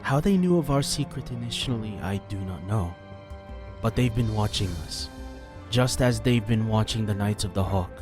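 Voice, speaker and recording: A man voicing a grave, measured male ninja master bringing ill news. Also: fairly low pitch, lively intonation, music in the background, voice pushed up, lightly acted.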